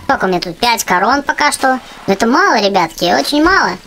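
A woman hosting a gaming channel for children, giving chatty, excitable running commentary on her play. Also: fairly high pitch, fast talker, slight background noise, poor audio. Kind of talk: casual game commentary for children. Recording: game music behind the voice. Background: video game music.

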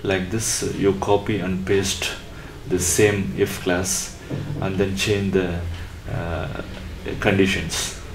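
Deep-voiced guy explaining code step by step, kinda halting, echoey room w some faint noise. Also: flat delivery. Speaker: electronics tutorial presenter. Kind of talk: unscripted tutorial narration of on-screen coding. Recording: roomy echo with faint background noise.

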